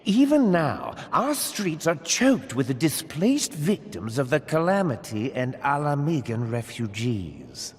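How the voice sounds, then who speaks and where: A man's voice: medium pitch, huge pitch swings, measured pace, few pauses, big-room sound. A man voicing a scheming, condescending wealthy merchant lord in a game cutscene, drawling and theatrical. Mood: contemptuous.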